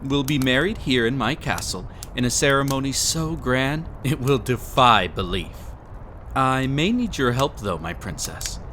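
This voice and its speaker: A man's voice: mid-range voice, animated, a little slow, fairly bright tone, a little hiss or hum. A man playing a possessive, smug prince in a romantic audio roleplay, with a silky, condescending, theatrical delivery. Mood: smug, doting. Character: possessive, smug young prince with hypnotic magic.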